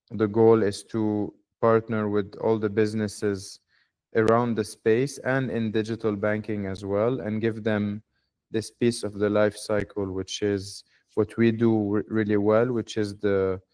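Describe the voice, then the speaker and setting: A low-voiced man speaks in a flat, level tone with a smooth, clear sound. He is a fund manager explaining his firm on a call, hesitant and measured, with many fillers. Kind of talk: business pitch on a call.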